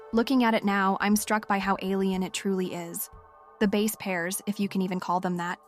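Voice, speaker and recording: A woman's voice: medium pitch, natural voice. A woman playing an obsessed, frightened scientist confiding intensely and hesitantly in a spoken audio-drama log. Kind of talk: dramatic monologue as spoken diary. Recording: music under the voice. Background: music.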